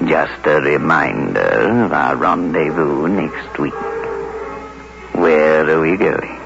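An actor playing a ghoulish radio horror host who relishes macabre puns in a drawn-out delivery. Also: male voice, a bass voice, gravelly, full character voice. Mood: macabre, sly. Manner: slow, drawn-out, macabre humor. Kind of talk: old-time radio horror narration. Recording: muffled old radio recording, loud music.

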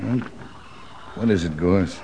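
An actor playing a stern, guarded cavalry captain in a 1950s radio western, curt and commanding. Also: male voice, deep voice, slow pace, gravelly, full character voice. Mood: guarded, terse. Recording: dull, faintly noisy old radio recording.

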